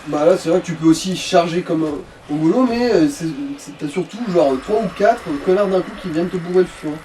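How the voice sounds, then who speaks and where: Male co-commentator, soft dark tone, a bit high, hesitant slangy directions, off-mic with some noise. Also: brisk. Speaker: co-commentator guiding the player. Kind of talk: casual gameplay chat, giving directions. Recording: distant mic with background noise.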